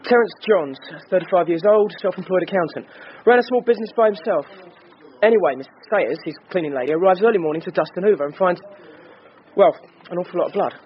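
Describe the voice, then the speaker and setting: An actor playing an eager, blundering detective sergeant in a radio drama, matter-of-fact and clumsily jokey. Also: male voice, sits high, fast talker, natural voice. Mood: eager, chatty. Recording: dull radio drama recording with noise.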